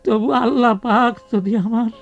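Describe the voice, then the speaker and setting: An actor playing an ailing old Bangladeshi village father in a radio drama, doting and pleading, with a rural accent and a frail, creaky voice. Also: extremely high pitch, fairly even intonation, measured pace, highs cut off, soft background music, full character voice. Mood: weak, pleading. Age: older.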